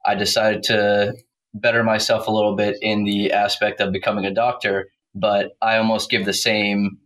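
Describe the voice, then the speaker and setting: A man from Croatia, a chiropractor and podcast guest, speaking plainly with some halting restarts. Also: lowish voice, flat delivery, fairly bright tone, poor audio. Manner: plain, halting, uses fillers. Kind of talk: casual interview answers.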